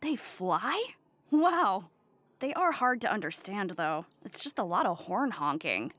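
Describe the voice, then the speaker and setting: A woman's voice: medium pitch, very animated, measured pace, a bit bright. A woman playing an eager, naive, cheerful crew member in a sci-fi comedy, perky and wide-eyed. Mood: wide-eyed wonder.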